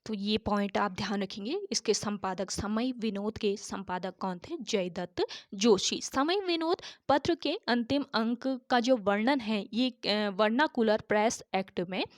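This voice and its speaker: A woman tutor with a sore throat teaching an exam-prep lesson, repeating each key answer slowly so students can note it down. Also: medium pitch, no room sound, studio quality.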